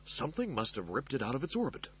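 A man's voice: mid-range voice, huge pitch swings, quick pace, husky, voice pushed up, heavily acted. A man voicing a meek, apologetic servant robot in an audiobook, deferential and hesitant. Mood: anxious.

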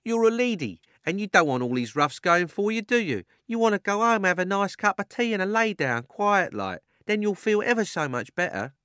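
A man voicing a blustering red-faced male London cab driver, loud and coaxing. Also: high voice, lively intonation, moderate pace, few pauses, clean tone, dry recording, studio quality, pitched above the performer's own voice. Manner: loud, coaxing, drops and adds h's.

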